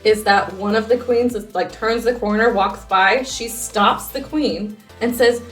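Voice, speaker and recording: A woman's voice: a little high, a little slow, soft background music, poor audio. A woman co-hosting a podcast, chatting casually in slangy language and acting out what people said as she tells stories. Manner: slangy, filler-heavy, acts out quoted remarks. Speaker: podcast co-host. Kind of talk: casual chat, animated storytelling.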